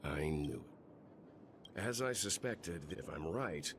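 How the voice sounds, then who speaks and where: An actor voicing a calm, dependable mentor in a video game, steady and authoritative. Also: male voice, deep voice, slow pace, a little hiss or hum, full character voice. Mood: serious.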